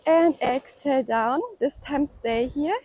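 High-voiced yoga teacher lady, calm encouraging cues, super dry close sound. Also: unhurried, dry recording, poor audio. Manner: calm, encouraging cueing of breath and poses. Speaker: yoga instructor. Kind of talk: guided workout instruction.

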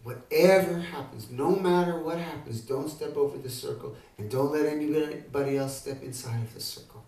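A man's voice: fairly high pitch, moderate pace, hardly any pauses, a little mellow, close to an everyday voice. A man doing a loyal, earnest young warrior. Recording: echoey room, distant mic.

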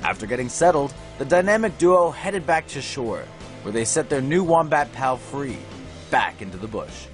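A man's voice: mid-range voice, very animated, moderate pace, soft background music. A man reading scripted narration for light news stories in a playful, storytelling way.

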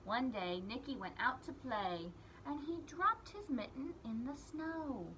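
A woman's voice: sits high, animated, unhurried, pushed and bright, slight background noise, rough recording. A young woman reading a picture book aloud to small children, in simple phrases with emphasized key words. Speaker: children's read-aloud storyteller.

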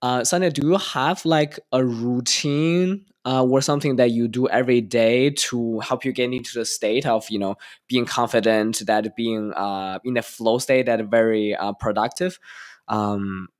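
A man's voice: medium pitch, fairly even intonation, unhurried. A young man hosts a casual podcast over a video call, speaking into a desk microphone. He asks long, rambling questions and eagerly affirms his guest. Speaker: podcast host. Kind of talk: casual interview conversation. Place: home room, indoors.

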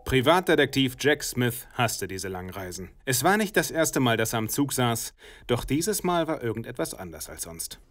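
A man's voice: mid-range voice, sing-song, normal speed, a bit bright. A man reading a scripted comic detective short story as an audiobook narrator.

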